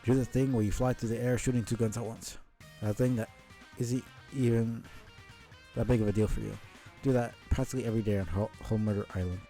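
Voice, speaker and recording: A man's voice: mid-range voice, steady tone, dark tone, soft background music. A man reading a story aloud on a live stream, stumbling over words now and then and dropping in casual asides. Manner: halting, stumbles and rereads words.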